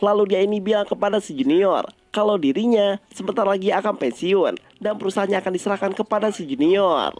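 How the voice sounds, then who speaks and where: A man's voice: high voice, lively intonation, fairly quick, hardly any pauses, a little mellow. A man narrates a film recap in a casual, scripted style, addressing viewers directly in colloquial speech. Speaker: movie recap host.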